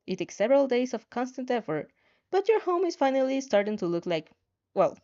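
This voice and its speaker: A woman narrating a story in an expressive amateur storytelling style. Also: sits high, a little fast, lo-fi sound. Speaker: fan fiction reader.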